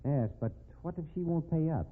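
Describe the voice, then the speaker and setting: An actor playing a shrewd businessman in a 1950s radio drama, with a measured, matter-of-fact manner. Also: a little high, animated, husky. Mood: feigned worry. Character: calm, shrewd male businessman helping blackmail victim. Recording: muffled noisy 1950s radio recording.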